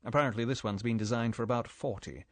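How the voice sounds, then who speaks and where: Man as a brisk english agent, matter-of-fact, mid pitch, dry. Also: no room sound, close to an everyday voice. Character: confident English male secret agent, brisk.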